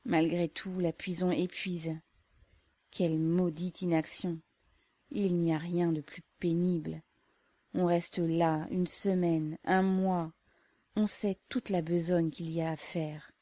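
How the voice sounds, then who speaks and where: A woman reading aloud, voicing a stubborn young woman who talks calmly and austerely. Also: fairly low pitch, takes it slowly, no echo. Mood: weary. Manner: calm, austere.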